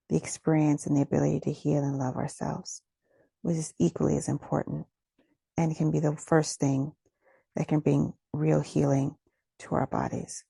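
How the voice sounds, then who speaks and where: Woman, guided meditation, soothing, slow instructions, soft breathy tone, slight gravelly creak, close dry sound. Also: sits low, no echo. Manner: gentle, soothing, unhurried instruction with pauses.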